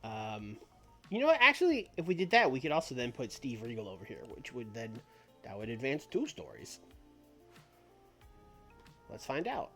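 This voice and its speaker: A man's voice: mid-range voice, huge pitch swings, moderate pace, pauses often, pushed and bright. Rambly guy streaming a game, voice goes up and down a lot, laughs at himself. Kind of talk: casual commentary on a simulation game. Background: faint background music.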